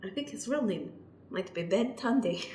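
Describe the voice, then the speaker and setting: A woman's voice: mid-range voice, lively intonation, measured pace, dark tone, echoey room, a little hiss or hum, natural voice. A woman playing a breezy, earnest middle-aged lawyer in an improvised comedy radio play.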